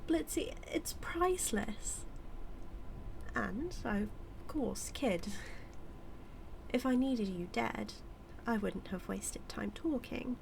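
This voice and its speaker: A British-accented woman playing a smug, manipulative evil magician in a scripted audio roleplay, teasing and taunting with deliberate, drawn-out phrasing. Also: fairly high pitch, animated, vocal fry, a little mellow, a little hiss or hum, full character voice. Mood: teasing, amused.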